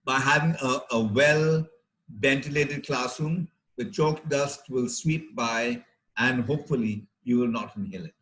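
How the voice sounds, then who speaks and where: A middle-aged man with an Indian accent delivers a health lecture as a doctor. His pitch is average and his pace is slow. He explains things in measured phrases, pausing deliberately between them. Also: a bit bright, low-quality recording. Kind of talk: health talk to teachers.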